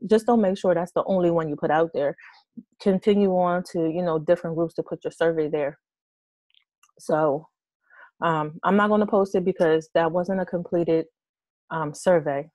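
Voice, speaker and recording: Hoarse woman talking through a tutorial. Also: on the low side, warm tone, no room sound. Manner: rambling, hesitant, many fillers. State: hoarse, losing her voice. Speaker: online business tutor. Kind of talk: casual screen-share tutorial. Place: small room with red curtains, indoors.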